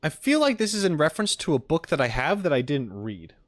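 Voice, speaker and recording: A man doing casual live reaction commentary as a streamer, thinking aloud in an expressive way. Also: on the high side, a little fast, no room sound, studio quality.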